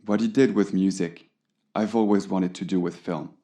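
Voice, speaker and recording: Audio drama auteur played by a man: idealistic, melancholy film director, very low and mellow, poetic, measured delivery. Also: fairly even intonation, natural voice. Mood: reverent, wistful. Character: earnest, romantic, melancholy male French film director.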